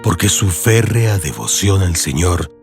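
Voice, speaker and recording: Male narrator, low register and expressive melody, measured dramatic literary delivery with clear music underneath. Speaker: audiobook narrator. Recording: music behind the voice.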